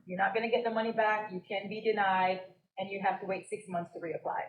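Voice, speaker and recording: A woman's voice: mid-range voice, steady tone, quick pace, roomy sound, narrow, tinny audio. A young woman from Jamaica, a business co-owner and guest on a live podcast, telling her story and laughing often. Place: stage at a live event.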